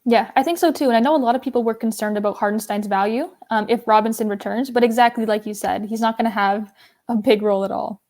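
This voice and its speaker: A woman co-hosting a fantasy basketball podcast, a little hoarse, casually agreeing with her partner and adding her own tips. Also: a little high, a little mellow. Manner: agreeable, quick to back up her co-host. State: losing her voice.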